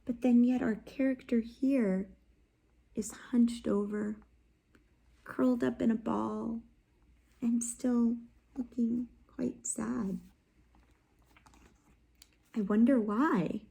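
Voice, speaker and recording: Slow, gentle woman reading to children. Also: on the high side, steady tone, vocal fry, slightly rough, poor audio. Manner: gentle, drops to soft whispers, asks listeners questions. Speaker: children's read-aloud host. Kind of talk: expressive picture-book read-aloud with teaching pauses.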